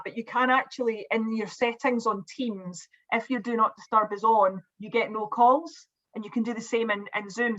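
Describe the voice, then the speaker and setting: A woman's voice: a little high, pushed and bright, muffled recording. A woman presenting on an online webinar, chatting casually with fillers and restarts over a video call. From Scotland.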